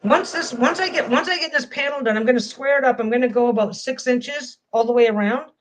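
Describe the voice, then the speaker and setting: A woman's voice: fairly high pitch, fairly quick, a little hiss or hum, low-quality recording. A woman vlogging casually as she sews a quilt panel at home, rambling and often restarting her phrases. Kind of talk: casual chat while sewing.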